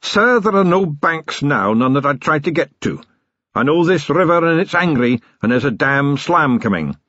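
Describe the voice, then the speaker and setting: A man putting on a nervous old riverboat captain from a storybook, pitched high and pleading. His husky voice wavers between anxious and resigned. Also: lively intonation, normal speed, a bit bright, no room sound, lo-fi sound.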